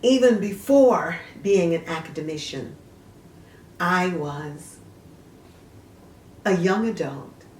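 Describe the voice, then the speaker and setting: Woman, slow measured phrasing, dramatic vlog monologue, roomy echo, faint background noise. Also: on the low side, a little mellow, recorded from a distance. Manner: emphatic, deliberate, broken into short measured phrases. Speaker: host of a literary video show. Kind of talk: dramatic, theatrical vlog monologue, partly reading aloud.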